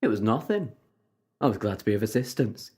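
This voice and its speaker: A man's voice: fairly low pitch, sing-song, a little fast, a little mellow, breathy edge. A man playing a kindly, assured time-travelling adventurer in an audio drama, reassuring and breezily understated. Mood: breezy, warm.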